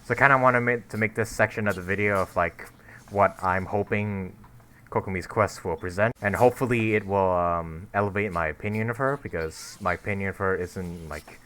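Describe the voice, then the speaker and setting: Low-voiced rambling young game commentator. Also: male voice, brisk, bright, forceful tone, clean tone. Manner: rambling, full of fillers and restarts, snarky. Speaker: gameplay commentator. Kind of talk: casual let's-play commentary.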